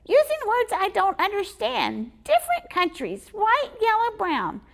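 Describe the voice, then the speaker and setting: A woman voicing an angry little male squirrel with a squeaky voice. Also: wildly swooping pitch, a little slow, creaky, exaggerated voice. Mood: indignant. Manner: indignant, shouting.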